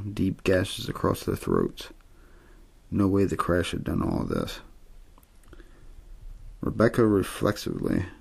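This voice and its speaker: A man reads a horror novel as a bedtime ASMR story. His voice is very low and heavily creaky, with a husky rasp underneath. The delivery is understated and untheatrical, though his pitch moves around noticeably as phrases fall away. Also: darkish tone. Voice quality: gravelly, husky. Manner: low-key, untheatrical narration. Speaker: audiobook-style story reader.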